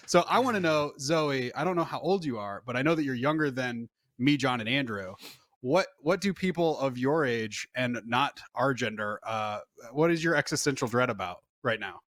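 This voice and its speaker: A man in his thirties leads a loose podcast chat, animated and full of jokes. He slips into little acted-out quotes of his own thoughts. His voice sits at an ordinary pitch, recorded dry and close. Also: normal speed, dry recording. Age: 30s. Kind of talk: casual chat.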